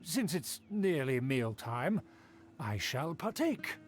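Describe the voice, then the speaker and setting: An actor playing a haughty young male aristocrat who speaks in a formal, pompous, drawn-out way, heard as game audio. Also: fairly high pitch, sing-song, soft background music, full character voice. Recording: game audio with clear noise.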